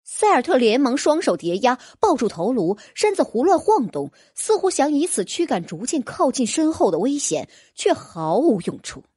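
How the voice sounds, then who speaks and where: A woman narrates a fantasy audiobook with lively, swinging intonation. Her voice sits slightly high and has a breathy huskiness. The delivery is dramatic and scripted, recorded close and dry. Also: no room sound.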